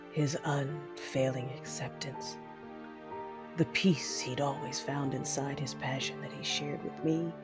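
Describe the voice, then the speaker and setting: A woman playing a grieving, vengeful Sith mother who narrates her story in the first person. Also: extremely low pitch.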